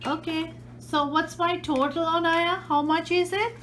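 A woman behind the camera in a home living room, gently and patiently playing pretend shop with a toddler. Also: extremely high pitch, steady tone, measured pace, background noise. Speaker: parent hosting a kids' channel. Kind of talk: playful pretend-play with a toddler.

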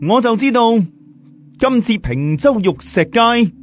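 A man's voice: very high-pitched, animated, measured pace, soft background music, pitched above the performer's own voice. A man with a Cantonese accent voicing a confrontational male rival in an audio story, pointed and challenging. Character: confrontational male rival gambler, near middle age.

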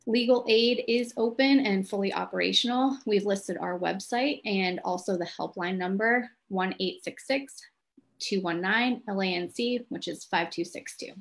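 A woman speaks as a clinic presenter, with a voice of medium pitch. She explains legal terms in a measured, plain-spoken way, as in an informational class for people without a legal background. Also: vocal fry.

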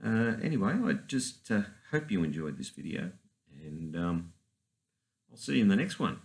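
Gravelly man rambling casually. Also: low voice, sing-song, moderate pace. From Australia. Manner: rambling, hesitant with many fillers. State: hoarse after a night out. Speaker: channel host. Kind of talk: casual vlog. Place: cluttered garage workshop.

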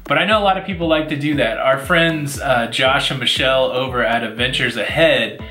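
A man's voice: medium pitch, brisk, pushed and bright, roomy sound, soft background music. A man in his forties co-hosting a casual vlog, rambling and self-deprecating with lots of filler words, seated beside his co-host. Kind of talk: casual chat. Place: indoors, seated before a virtual backdrop.

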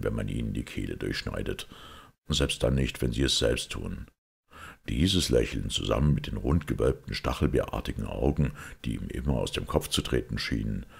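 A man's voice: rumbling low, moderate pace, creaky, breathy edge. A man reading a detective story as an audiobook narrator, in a scripted literary style.

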